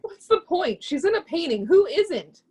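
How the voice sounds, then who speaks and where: A woman's voice: very high-pitched, very animated, normal speed, a little mellow. A woman playing a haughty, dismissive and cutting character in a stage play reading. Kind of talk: readers theatre play reading.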